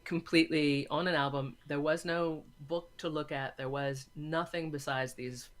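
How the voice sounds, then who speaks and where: A woman's voice: sits low, fairly even intonation, normal speed. A woman chatting casually as an interview guest, enthusiastic and emphatic, often repeating words for stress. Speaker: interview guest, audio drama maker.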